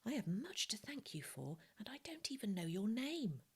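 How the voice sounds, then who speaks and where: A kindly fugitive man in a storybook, voiced by a woman reader. He speaks earnestly and inquisitively, grateful to others, in a husky, creaky voice. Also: on the high side, big pitch swings, normal speed, pitched above the performer's own voice, heavily acted. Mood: grateful, curious. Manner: earnest, questioning.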